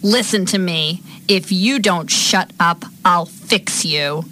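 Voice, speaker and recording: A woman playing a mean captor in a drama, with a deliberate delivery and falsely sweet menace. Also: on the high side, big pitch swings, sharp tone. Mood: menacing. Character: mean woman falsely soothing, then threatening, her captive. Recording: noisy amateur room recording.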